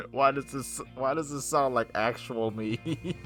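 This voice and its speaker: A man's voice: mid-range voice, fairly bright tone. A man playing and reading a visual novel aloud, with playful casual asides and the odd stumble over words. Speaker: gaming video host.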